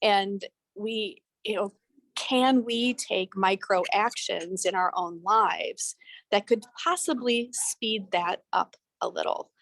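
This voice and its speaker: A woman's voice: mid-range voice, slow pace, fairly bright tone, dry recording, poor audio. A middle-aged woman talking earnestly on a video call from a busy event venue, with some restarts. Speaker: panelist on a talk show. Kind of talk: casual video-call talk.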